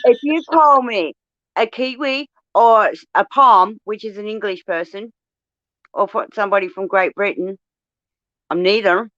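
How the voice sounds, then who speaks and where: An Australian woman in casual chat, blunt and quick to swear as she tells her stories. The sound is dry and close, and a bit dull. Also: mid-range voice, no room sound. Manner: blunt, swears freely, animated storytelling.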